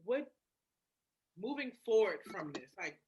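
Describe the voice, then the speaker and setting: A woman's voice: medium pitch, big pitch swings, unhurried, a crowd in the background. A woman hosting a candid podcast conversation, slangy and emphatic, often repeating her phrases.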